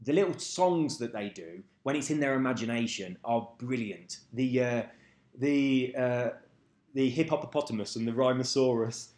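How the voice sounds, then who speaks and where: A man's voice: mid-range voice, moderate pace, darkish tone. A man rambling casually to camera, swearing freely and losing track of names. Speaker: vlogger. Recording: echoey room.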